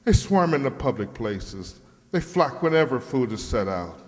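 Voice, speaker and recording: A man's voice: mid-range voice, sing-song, moderate pace, darkish tone, close to an everyday voice. An actor playing a courtly, troubled King of Morocco in a children's radio play, speaking in formal, flowery phrases. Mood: embarrassed, troubled. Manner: formal, stately, flowery speech. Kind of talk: children's radio drama acting. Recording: reverberant hall sound.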